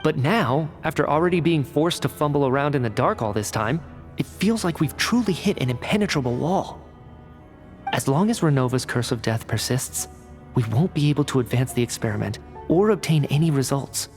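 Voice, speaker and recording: A man's voice: a little high, expressive, natural voice. A man voicing a cerebral game researcher. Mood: analytical. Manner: measured, analytical explaining. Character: analytical male researcher, measured and cerebral. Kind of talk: video game cutscene voice acting. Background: game score music.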